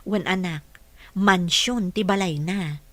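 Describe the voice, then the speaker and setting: A woman's voice: fairly low pitch, slow pace, fairly bright tone, no room sound. A Filipino woman playing an earnest, patient single mother who works as a caregiver in a radio drama. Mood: gentle explaining.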